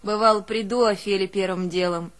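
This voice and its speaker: A woman's voice: medium pitch, steady tone, close to an everyday voice. An actress playing a long-suffering village mother, telling her hardships in a plaintive, colloquial dramatic monologue for an audio play. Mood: weary, subdued. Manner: plaintive, colloquial, unhurried, swinging between hushed and keening. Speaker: stage actress in a one-woman audio play.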